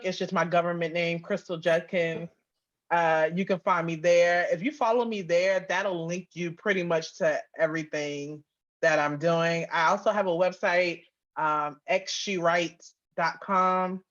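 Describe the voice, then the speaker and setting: A woman's voice: lowish voice, fairly even intonation, a little slow. A woman chatting casually as an interview guest, on slightly dull, lo-fi audio. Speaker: guest, audio drama writer and producer.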